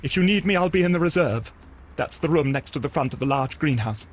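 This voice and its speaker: An actor playing an earnest, grieving gardens worker in a video game, speaking politely and hesitantly in a sombre manner. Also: male voice, a little high, lively intonation, brisk. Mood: grieving, hurt. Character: grieving, earnest son working at botanic gardens.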